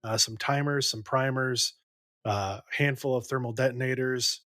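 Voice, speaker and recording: A man's voice: medium pitch, fairly even intonation, normal speed, sharp tone, no room sound, clean studio sound. A man playing a tabletop game, describing what his character does as he thinks aloud. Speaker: player. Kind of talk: casual in-character table talk.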